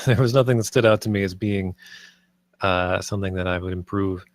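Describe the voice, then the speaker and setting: A man's voice: sits low, steady tone, moderate pace. A man giving casual, conversational feedback on a script. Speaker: table read participant giving script feedback. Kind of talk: casual spoken critique.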